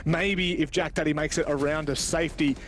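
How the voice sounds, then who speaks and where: A man doing live esports play-by-play commentary, dramatic and animated in his reactions, with casual banter mixed in. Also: a little high, brisk, a little hiss or hum.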